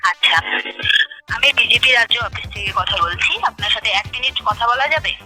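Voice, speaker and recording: A woman's voice: on the high side, fairly quick. A woman, making a political canvassing call in a formal, polite, businesslike manner over the phone. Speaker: political campaign telephone caller. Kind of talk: scripted phone canvassing with brief unscripted replies. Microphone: telephone call. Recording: phone call audio with music behind.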